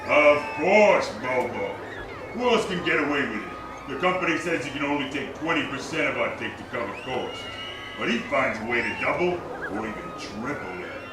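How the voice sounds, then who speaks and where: A man's voice: mid-range voice, expressive, normal speed, close to an everyday voice. Man acting a surly carny, echoey and distant. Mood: bitter. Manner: surly, slangy tough-guy talk. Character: irritable, nasty male carnival barker, gruff streetwise crook. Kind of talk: live radio drama in old detective serial style. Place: theater stage, live performance. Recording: echoey theater, distant mic, noisy.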